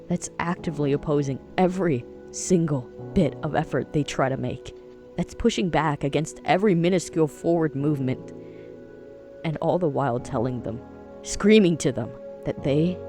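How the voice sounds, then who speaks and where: A man's voice: on the high side, warm tone, lightly acted. A man playing an earnest, supportive young friend in an audio drama, speaking with emphatic, reassuring phrasing. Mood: impassioned.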